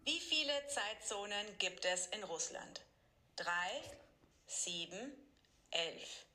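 Bright, measured woman announcer. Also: medium pitch, animated. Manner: measured, evenly read. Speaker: quiz announcer. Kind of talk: scripted announcing.

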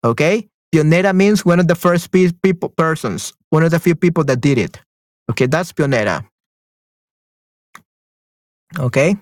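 A man's voice: fairly high pitch, expressive, measured pace, no echo. Upbeat bilingual male Spanish teacher. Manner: switches between Spanish and English, repeats affirmations. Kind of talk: online group class, reading and quizzing. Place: online class, talking to students.

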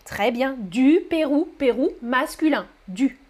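A woman with a high voice runs a live French class at an unhurried pace. She pronounces each word carefully for learners and keeps cheering them on with repeated praise. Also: animated, darkish tone. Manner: encouraging, repeats praise words; slow, careful articulation for learners. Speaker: French teacher. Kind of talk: live-streamed language lesson reading chat.

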